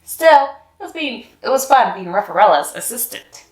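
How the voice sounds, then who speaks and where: A woman reading a children's picture book aloud in an expressive, sing-song way. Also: medium pitch, normal speed. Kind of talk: storybook read-aloud. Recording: echoey room with faint music.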